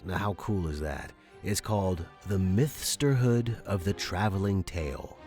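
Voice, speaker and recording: Low-voiced man, casual podcast host. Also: darkish tone. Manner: informal, enthusiastic.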